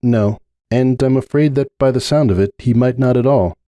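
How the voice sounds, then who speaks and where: Male narrator as young female pony, higher register, earnest, hesitant, apologetic, soft-edged tone, storybook drama. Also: normal speed, no echo, natural voice. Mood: reluctant, regretful. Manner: hedging, softening bad news.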